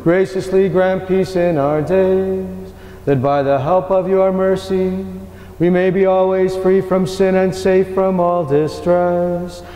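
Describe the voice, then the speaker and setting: A man serving as priest, leading the prayers of Mass in a measured, solemn way. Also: sits high, steady tone, warm tone, faint music behind. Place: large cathedral. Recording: echoey cathedral with long reverb, faint noise.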